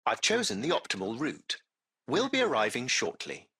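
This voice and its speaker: A man voicing a servile, impeccably polite artificial intelligence taxi driver in a video game, with formal, ornate, measured diction. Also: mid-range voice, huge pitch swings, gravelly, sharp tone, husky, full character voice. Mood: politely solicitous. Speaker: AI driver and concierge.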